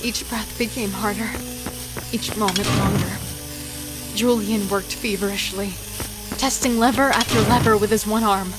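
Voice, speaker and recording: A woman's voice: medium pitch, fairly even intonation, moderate pace, loud music behind the voice, close to an everyday voice, low-quality recording. A woman playing a wary, devoted female killer in a horror audio drama, telling and living the story with tense emotion. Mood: breathless, fearful. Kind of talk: first-person dramatic narration and horror audio drama dialogue.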